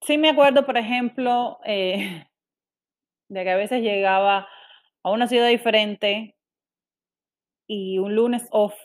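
A young woman from Cuba with a Cuban accent, speaking candidly and self-critically in a personal monologue, with frequent restarts. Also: mid-range voice. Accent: Cuban Spanish.

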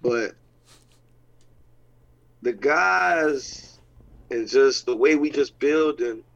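Excited football coach. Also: male voice, mid-range voice, extremely slow, gravelly. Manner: excited, repetitive, informal phrasing. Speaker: head coach and team owner. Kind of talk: casual chat. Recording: dull lo-fi sound.

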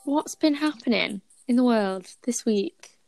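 A woman's voice: on the high side, animated, unhurried, vocal fry, darkish tone, soft background music. A young woman co-hosting a radio show, making dry, wry asides. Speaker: radio co-host. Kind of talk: casual chat.

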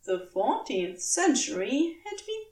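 A woman reading scripted fiction as a storyteller, with measured, deliberate phrasing and dramatic pauses. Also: sits high, sing-song, darkish tone, far from the mic. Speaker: podfic narrator.

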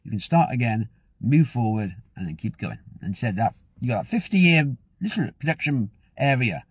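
A man's voice: medium pitch, expressive, normal speed, slightly rough, no room sound. A man giving an upbeat, rambling motivational talk as host of his own show. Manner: upbeat, rambling with frequent restarts. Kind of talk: casual motivational talk to camera.

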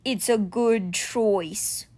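Woman voicing a slow, grave stone guardian. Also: a little mellow. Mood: earnest. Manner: slow, low and measured. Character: huge stone winged cat guardian, grave, male.

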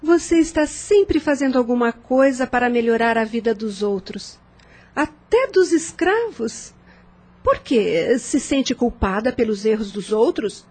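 An actress in a radio drama playing a kind, inquisitive, hospitable lady of the house who is gently insistent. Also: on the high side, lively intonation, measured pace, slightly rough.

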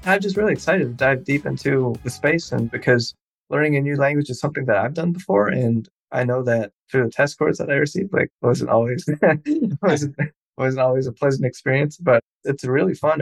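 A man's voice: medium pitch, gravelly, no room sound. A man hosting a podcast, chatting loosely with a guest and laughing often. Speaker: podcast host. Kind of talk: casual interview chat.

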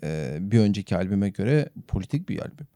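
Low, very mellow man chatting casually. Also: big pitch swings, brisk, no echo, clean studio sound. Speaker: podcast co-host. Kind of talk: casual, joking podcast chat.

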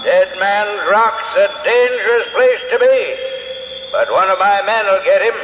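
Echoing ghostly old sea captain. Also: male voice, high voice, animated, a little slow. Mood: gruff, unhurried. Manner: salty, archaic seafaring speech. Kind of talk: 1970s radio drama acting. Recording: muffled, noisy old radio recording, long echo.

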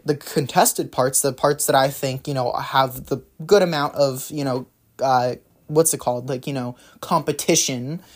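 A man hosting a solo talk show, rambling through his views with many fillers and restarts, his voice slightly raspy from a runny nose. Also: fairly high pitch. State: runny nose, maybe a cold. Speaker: podcast host. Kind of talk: casual solo political commentary.

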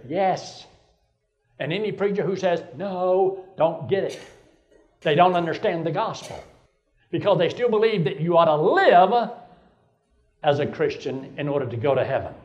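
Older male pastor, 70s, high-pitched voice, emphatic sermon delivery. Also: dull audio. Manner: preaching with rising emphasis, rhetorical questions.

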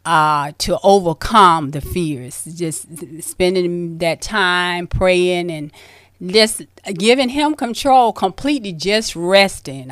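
Animated woman host, lowish voice. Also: clear, resonant tone, no room sound, studio quality. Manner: animated storytelling, emphatic. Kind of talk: faith-based interview.

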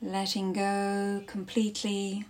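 A woman leading a guided yoga nidra class as instructor, speaking soothingly in short instructive phrases with long pauses. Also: mid-range voice, completely flat delivery, darkish tone.

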